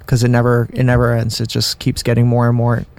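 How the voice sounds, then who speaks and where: A man, the writer of the show, speaking excitedly in a casual interview in long run-on sentences. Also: mid-range voice, a little fast, creaky, smooth. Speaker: playwright of the show.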